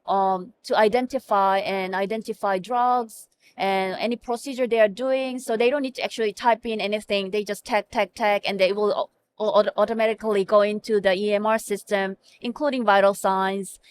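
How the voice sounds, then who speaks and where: A woman's voice: medium pitch, steady tone, moderate pace, slight background noise. A middle-aged woman with a Korean accent, a native Korean speaker and hospital information officer, answering interview questions earnestly with many fillers and restarts. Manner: earnest, frequent fillers and self-corrections.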